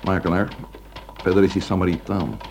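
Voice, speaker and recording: A man plays a probing police inspector in a radio play. His voice is low, his manner dry and understated, on a slightly noisy old recording. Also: steady tone, brisk, a little mellow, close to an everyday voice. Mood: matter-of-fact. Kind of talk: radio drama acting.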